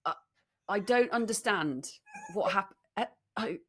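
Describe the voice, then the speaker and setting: A British woman co-hosting a podcast in casual chat, telling stories in an animated, teasing way. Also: medium pitch, measured pace, husky. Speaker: podcast co-host. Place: room in central London.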